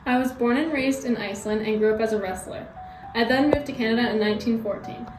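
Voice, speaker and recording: A young woman playing an earnest male 1920s hockey coach, reading scripted answers in a solemn, deliberate way. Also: a little high, natural voice. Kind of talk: scripted mock interview answers. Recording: echoey room, music behind.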